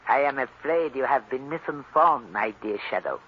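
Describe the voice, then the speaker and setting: Suave, evasive academic: husky, measured, elaborately polite, dulled vintage radio sound. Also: male voice, mid-range voice, expressive. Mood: evasive, defensive. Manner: slow, measured, over-polite. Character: evasive, smooth-talking male professor. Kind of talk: 1940s radio mystery acting. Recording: muffled old radio recording.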